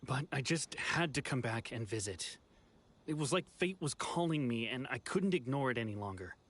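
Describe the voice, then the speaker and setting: An actor playing a regretful young runaway who has returned home, speaking sincerely in anime game style. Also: male voice, medium pitch, animated, moderate pace, fairly bright tone, a little hiss or hum, lightly acted. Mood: wistful, regretful. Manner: wistful, sincere. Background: background music.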